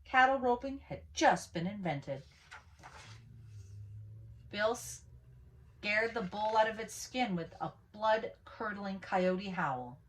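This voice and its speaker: A woman reading a picture book aloud as a storyteller, with animated, dramatic emphasis on key words. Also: mid-range voice, takes it slowly, pauses often, slight background noise, low-quality recording. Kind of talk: expressive children's story read-aloud.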